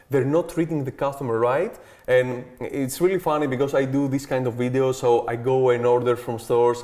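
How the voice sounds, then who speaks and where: Picture a man in his thirties whose Greek accent colors every word. His pitch sits right in the middle for a man. He talks as a guest in a casual interview, eager and sure of himself, and keeps tossing in "okay" and "like" as he goes. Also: warm tone.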